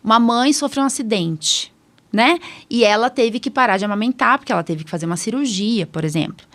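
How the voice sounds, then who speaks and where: A woman's voice: a little high, fairly quick, a bit bright. A woman with a Brazilian Portuguese accent, a podcast host and doctor talking casually and acting out points as she explains. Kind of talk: casual conversational podcast talk.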